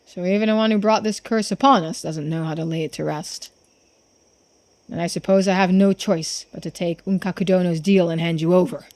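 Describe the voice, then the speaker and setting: A woman's voice: fairly low pitch, steady tone, a little fast, darkish tone, close to an everyday voice. A woman voicing a stern, domineering male businessman from a visual novel, with a scornful, commanding manner. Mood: grave, resigned.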